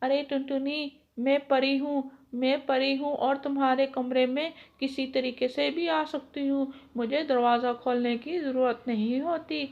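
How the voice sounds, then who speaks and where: A woman's voice: high voice, steady tone, a little slow, vocal fry, few pauses, muffled recording. A woman voicing a kind, helpful fairy in a children's cartoon story, explaining things in a reassuring way.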